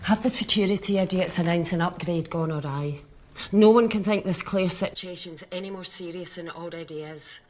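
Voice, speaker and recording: A woman's voice: fairly low pitch, normal speed, a little mellow, natural voice. Curt, commanding female corporate boss played by an actress. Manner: authoritative, curt. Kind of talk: naturalistic dramatic screen acting.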